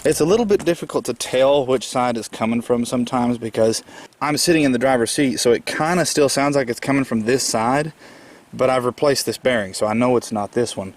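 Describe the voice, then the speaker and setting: A man with a slight head cold, explaining a car repair step by step in a plainspoken, patient way with frequent fillers. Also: mid-range voice. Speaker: DIY car repair presenter. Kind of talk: casual how-to walkthrough.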